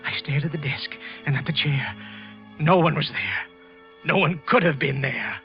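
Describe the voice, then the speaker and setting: An American actor playing a guilt-ridden office worker and murderer sliding into paranoid madness, stammering and repeating himself in a 1940s radio thriller. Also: male voice, on the high side, lively intonation, normal speed. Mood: dazed, fearful. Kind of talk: 1940s American radio thriller acting, first-person narration. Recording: muffled old radio recording with music.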